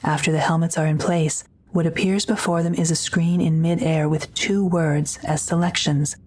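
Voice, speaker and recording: Low-pitched woman narrating an audio drama. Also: flat delivery, moderate pace, darkish tone, a little hiss or hum.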